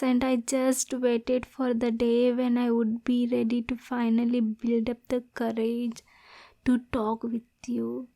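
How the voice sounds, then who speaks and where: A lovesick demon girl, played by a woman, in an intimate ASMR roleplay. Her voice is high and soft, with a mellow tone and little melodic swing. She speaks slowly and breathily, lonely and nervous yet possessive.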